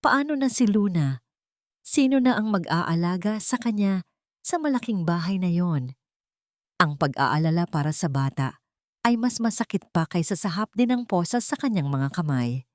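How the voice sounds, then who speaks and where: A woman with a slightly low voice narrates a dramatic audio story. The delivery is scripted storytelling, and the sound is dry and close. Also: animated, moderate pace, a little mellow, no room sound.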